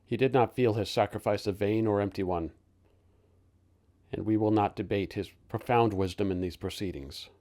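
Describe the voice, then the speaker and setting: A man performing a dignified, grieving starship captain giving a measured, formal eulogy in a theatrical film monologue. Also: lowish voice, fairly even intonation, warm tone, lightly acted. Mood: solemn, grieving.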